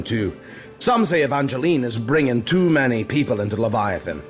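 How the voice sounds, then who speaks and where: A genial old tavern keeper in an audio drama. His delivery is theatrical and folksy, with pitch that rises and dips freely. He teases and chats heartily over a bed of music. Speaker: barkeep. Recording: music under the dialogue.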